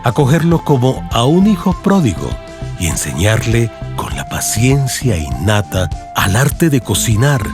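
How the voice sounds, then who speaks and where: A man with a Colombian accent narrating a literary radio drama audiobook in a measured storytelling delivery, with music behind him. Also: mid-range voice, sing-song. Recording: music bed under narration.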